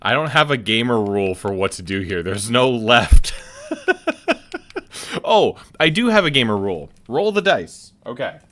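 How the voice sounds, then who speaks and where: A man casually commenting on a video game as he plays, thinking aloud and halting with many restarts. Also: medium pitch, sing-song, fairly bright tone. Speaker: let's play streamer.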